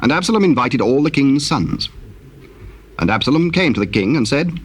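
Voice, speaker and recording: Solemn man narrating scripture, husky voice. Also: mid-range voice, animated. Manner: solemn, measured storytelling in archaic English. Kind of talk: scripted dramatic Bible narration.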